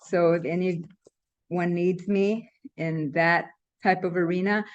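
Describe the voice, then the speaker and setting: Woman from Ecuador, quiet and hesitant, casual team intro over a muffled video call. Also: on the low side, fairly even intonation, slow pace. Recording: dull lo-fi video call audio.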